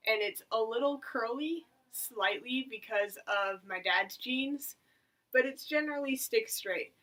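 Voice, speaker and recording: A young woman around eighteen chats to her camera in a chatty, rambling way and pokes fun at herself. Her voice is bright and forward. She sits a little back from the mic, so she sounds slightly distant. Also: on the high side, unhurried. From United States. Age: about 18. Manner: chatty, rambling, self-mocking. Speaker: vlogger. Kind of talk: casual talk to camera.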